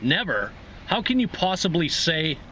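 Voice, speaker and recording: A man's voice: on the high side, very animated, a little slow, bright, forceful tone, slight background noise, full character voice. A man voicing a sullen, sarcastic young man who resents being jilted, in a short story reading.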